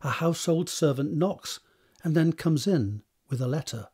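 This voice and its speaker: A man serves as narrator, reading scripted stage directions. His voice is fairly high for a man and has a breathy, husky grain. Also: animated, normal speed, a little mellow.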